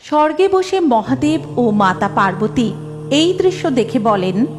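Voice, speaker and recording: A woman narrating a cartoon story in an even storytelling manner. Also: a little high, unhurried, music in the background.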